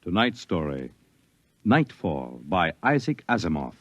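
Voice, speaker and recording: A man's voice: sits low, very animated, measured pace, highs cut off, very noisy. Grand 1950s radio announcer, man. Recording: old radio broadcast with music.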